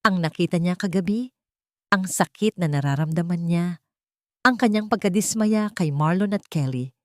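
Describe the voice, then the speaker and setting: A woman with a fairly low voice narrates a scripted romantic story. Her delivery is measured and earnest, and the recording is dry and close, with little room sound. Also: darkish tone, dry recording.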